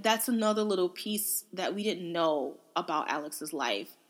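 A woman's voice: lowish voice, fairly bright tone. Woman casually talking through a book. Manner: conversational, acts out quotes informally. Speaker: book reviewer and podcast host. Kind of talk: casual book discussion, recounting and analysis.

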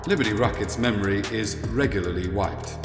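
A man's voice: lowish voice, fairly quick, close to an everyday voice. A man playing an obedient, literal-minded spaceship computer in a science fiction audio drama, speaking formally and without emotion. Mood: flat, commanding. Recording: echoey, long reverb, music behind.

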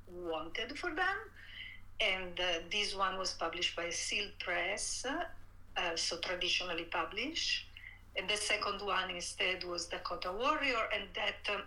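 A middle-aged woman from Italy with an Italian accent, talking about her writing in an interview, laughing often and pausing with fillers and restarts. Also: lowish voice, sharp tone, rough recording. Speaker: author guest. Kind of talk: interview conversation.

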